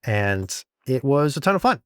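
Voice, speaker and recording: A man's voice: mid-range voice, big pitch swings, moderate pace, fairly bright tone, clear, resonant tone, no room sound, studio quality. A man co-hosting a podcast as a sound designer, explaining things step by step in a casual conversational style. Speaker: audio drama sound designer, podcast co-host.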